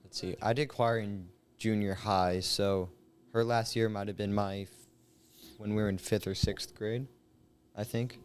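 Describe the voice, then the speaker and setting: Late teen guy just chatting, voice on the low side, bit tentative. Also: fairly even intonation, unhurried, smooth. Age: late teens. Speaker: student guest. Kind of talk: casual chat.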